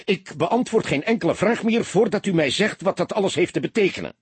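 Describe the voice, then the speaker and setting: A man's voice: high-pitched, expressive, fairly quick, husky, no echo, voice pushed up, exaggerated voice. A man voicing an agitated, indignant young soldier under questioning in an audiobook, protesting in distress. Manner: stammering, yelling protests. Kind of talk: audiobook character acting.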